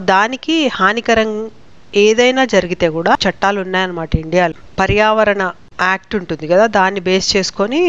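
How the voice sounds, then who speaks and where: Clear-voiced Telugu woman teacher, slightly muffled recording. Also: medium pitch. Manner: didactic, explains terms with frequent tag questions. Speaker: law teacher presenting short legal explainers. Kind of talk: informal explanatory lesson.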